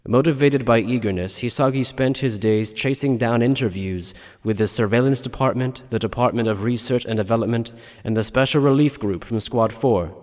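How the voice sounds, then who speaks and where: A man narrates scripted, dramatic story prose. His voice sits at a middle pitch, and a long reverb trails behind his words. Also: moderate pace, hardly any pauses, a little mellow.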